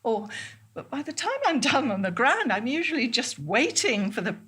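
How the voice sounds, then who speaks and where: A woman playing an ailing, distracted woman in a theatrical monologue, musing obsessively in halting, repetitive phrases that trail off. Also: on the high side, sing-song, fairly bright tone, slightly rough, full character voice. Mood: uneasy, distracted. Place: theatre stage.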